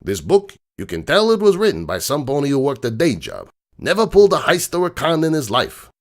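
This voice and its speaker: A man playing a gruff, streetwise crook horse uncle who tells bedtime stories in a slangy, cynical, digressing way. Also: on the high side, huge pitch swings, fairly quick. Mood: critical, lecturing. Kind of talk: dramatic reading of a comic bedtime story. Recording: music bed behind the voice.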